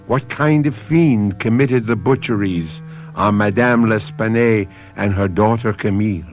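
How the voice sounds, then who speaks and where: Ominous, animated male radio mystery narrator. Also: on the low side. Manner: ominous, theatrical. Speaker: announcer and narrator.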